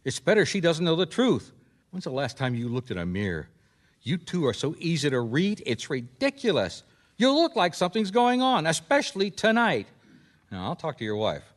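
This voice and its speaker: A man's voice: fairly high pitch, wildly swooping pitch, normal speed. An actor playing a bossy, impatient male private detective in a radio mystery, sarcastic and commanding as he interrogates. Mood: accusing, bossy. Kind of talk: radio detective drama acting.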